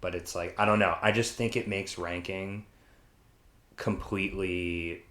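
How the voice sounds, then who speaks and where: A man's voice: deep voice, fairly even intonation, measured pace, fairly bright tone. A man co-hosting a podcast, talking casually and giving his opinions in an informal way with rhetorical questions. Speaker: podcast co-host. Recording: roomy, echoey sound.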